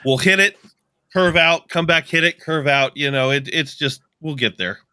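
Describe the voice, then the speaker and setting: A man's voice: a little high, moderate pace, sharp tone, clear, resonant tone, no room sound, clean studio sound. A man co-hosting a livestream in casual, informal chat with a joking streak. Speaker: podcast co-host.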